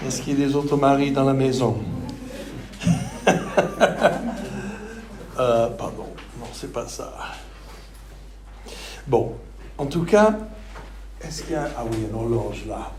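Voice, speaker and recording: A man from Texas with a Texan accent, speaking French as a second language, preaching a sermon with jokes and halting fillers. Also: mid-range voice, noisy background. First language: English. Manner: joking, self-deprecating, halting with fillers. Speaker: pastor. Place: church hall. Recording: echoey church hall.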